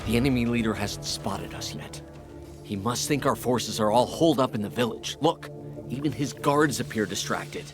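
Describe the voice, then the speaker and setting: A man's voice: medium pitch, normal speed, lightly acted. Cunning archer scout played by a man, music behind. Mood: confident, sly. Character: cunning male archer scout, quietly confident. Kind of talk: dramatic fantasy game cutscene acting. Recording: prominent background game music.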